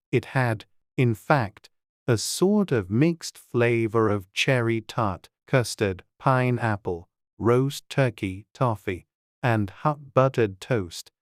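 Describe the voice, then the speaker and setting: A man's voice: medium pitch, no room sound, clean studio sound. A man reading scripted storybook narration as an audiobook narrator.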